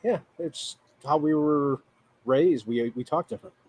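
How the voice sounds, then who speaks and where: A man's voice: a little high, unhurried, a little mellow, husky, poor audio. A man joining a casual video call chat. He teases the host playfully. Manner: teasing, playful. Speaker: guest.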